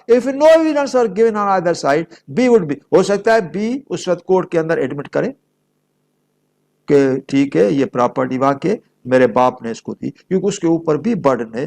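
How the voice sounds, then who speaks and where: A Pakistani man delivers a didactic lecture in the manner of a law teacher. He speaks slowly, with a noticeably high pitch for a man and a creaky edge to the voice. He explains step by step, often posing a question and then answering it himself. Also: a little mellow. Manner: didactic, switches between Urdu and English, poses questions and answers them. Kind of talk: explanatory lecture with worked examples.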